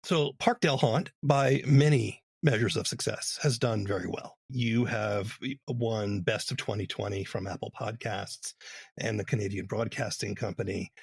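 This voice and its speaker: Husky, gravelly male interviewer. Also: fairly low pitch, steady tone, moderate pace, no echo. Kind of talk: interview questioning.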